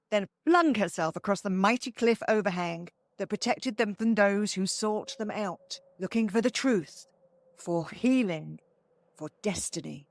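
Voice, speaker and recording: A woman narrating a story aloud as host, in a dramatic, expressive and theatrical scripted style. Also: mid-range voice.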